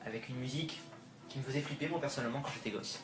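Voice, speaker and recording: A young man hesitantly talks over a video game, pausing on fillers as he goes. he sounds distant and roomy, caught on a phone with no mic, and game music plays faintly behind him. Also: medium pitch, fairly bright tone. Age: young adult. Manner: hesitant, filler-heavy narration. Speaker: gaming video maker. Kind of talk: early gaming commentary video. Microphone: phone, no microphone. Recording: old roomy recording with music.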